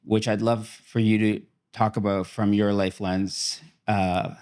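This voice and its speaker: A man of Mexican Canadian background hosts the conversation with a fairly low voice. He asks reflective questions in a relaxed, conversational way. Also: measured pace. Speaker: host. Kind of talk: interview questions and chat.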